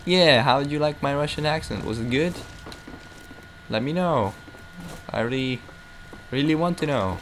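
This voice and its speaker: A man's voice: fairly high pitch, animated, moderate pace, pauses often. A young man giving casual, rambling, self-mocking commentary while playing a game. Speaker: gameplay commentator. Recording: noisy game audio behind.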